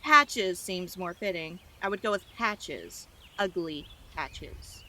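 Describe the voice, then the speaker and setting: A woman's voice: on the low side, animated, slow pace, pushed and bright, full character voice. A woman voicing a rude young female mouse in an audio drama, mocking and sulky, with a taunting drawl. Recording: faint outdoor ambience with birdsong.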